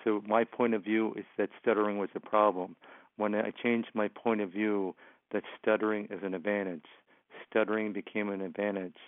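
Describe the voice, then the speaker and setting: Guy who stutters, lots of repeats and uh's, low voice, dry phone sound. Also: level tone, normal speed, dry recording, phone-quality sound. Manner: stutters, repeats words and syllables. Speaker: motivational speaker, guest. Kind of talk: interview answers.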